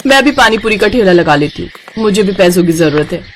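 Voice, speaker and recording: A woman's voice: mid-range voice, expressive, brisk, a little hiss or hum, lightly acted. A woman voicing a feisty, hardworking young village woman who sells street snacks in a children's cartoon story, with a scrappy way of talking. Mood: determined. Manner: loud hawking and scrappy scolding.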